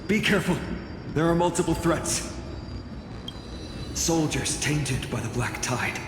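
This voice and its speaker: A man's voice: fairly high pitch, expressive, a little slow, roomy sound, in a large hall, background noise. A man voicing an earnest, bold young swordsman hero in a video game, with dramatic delivery. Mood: curious, wary.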